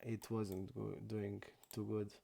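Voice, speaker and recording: A young man from Croatia, not an English speaker, chatting casually and haltingly while streaming poker. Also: lowish voice, flat delivery, creaky, mellow. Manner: halting non-native English, many restarts and fillers.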